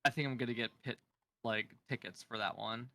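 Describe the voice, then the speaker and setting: A man chatting casually on a stream, his voice hoarse and strained after screaming at a concert, laughing and swearing freely. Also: medium pitch, steady tone, brisk, bright, forceful tone, dry recording. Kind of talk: casual chat.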